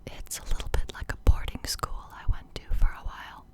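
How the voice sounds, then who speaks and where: A woman's voice: a little fast, darkish tone, lightly acted. Woman reading as a playful whispering young woman. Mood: breezy, teasing.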